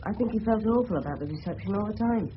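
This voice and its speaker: A dull old radio drama recording with faint hiss carries an actor as an English teenage boy. He is polite and earnest, speaking respectfully in a middling-pitched youthful voice. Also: animated, fast, natural voice. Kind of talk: 1970s British radio drama acting.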